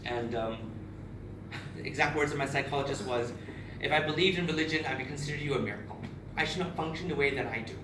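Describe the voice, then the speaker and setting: A man's voice: medium pitch, quick pace. A man in his thirties from Barbados gives a candid, emotional personal speech to an audience, with frequent restarts. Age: 30s. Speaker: speaker at a charity event. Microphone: far camera. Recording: echoey room, captured from far off with noise.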